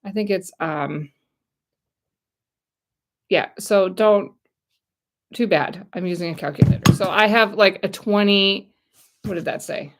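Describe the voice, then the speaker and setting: Gravelly-voiced chatty American woman. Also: medium pitch, a little slow, long pauses. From United States. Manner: chatty, rambling, talks to viewers directly. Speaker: YouTube channel host. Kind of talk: casual livestream chat.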